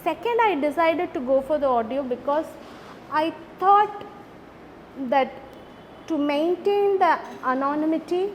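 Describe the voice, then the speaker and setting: A woman's voice: high-pitched, unhurried. A woman with an Indian accent, giving an unscripted explanation of her work as a panel presenter. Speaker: researcher presenting a residency project. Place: large indoor event space. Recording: reverberant hall with faint noise.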